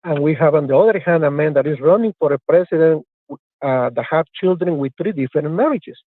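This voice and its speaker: A man's voice: on the high side, animated, a little fast, dry recording. A man calling in to a radio show, offering his view hesitantly and searching for words. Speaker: caller. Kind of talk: phone call-in debate. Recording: tinny phone line.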